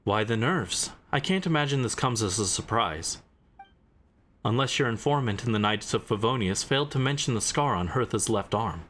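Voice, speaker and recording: A man voicing a composed, eloquent investigator and commander in a game, speaking in a measured, formal, deliberate way. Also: mid-range voice, lively intonation, fairly bright tone. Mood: calm, accusing.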